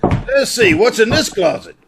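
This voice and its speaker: A man playing a gruff, suspicious police inspector in an old-time radio drama, sardonic and accusing, over a video call. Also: high voice, lively intonation, unhurried. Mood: dry, suspicious. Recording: faintly noisy video call recording.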